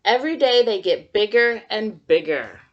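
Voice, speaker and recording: A woman's voice: medium pitch, expressive, moderate pace, dull audio. A woman teacher reading a picture book aloud to young children, adding simple, encouraging comments and questions as she goes. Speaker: kindergarten teacher.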